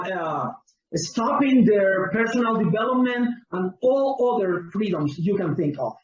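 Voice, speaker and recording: A man with a Cuban Spanish accent and a high voice, speaking slowly and earnestly as he searches for words. His sound is distant and roomy. It has the muffled, lo-fi quality of a video call. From Cuba. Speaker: pastor and guest. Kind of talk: interview answer.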